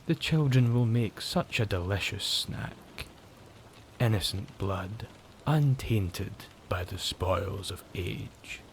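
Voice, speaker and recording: Smug sadistic vampire, low-pitched, very drawn-out gloating delivery, menacing, ASMR horror roleplay, rain in the background. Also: male voice, lively intonation, creaky, slight background noise, exaggerated voice. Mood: gloating, hungry. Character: sadistic male vampire, smug, menacing, savouring his prey.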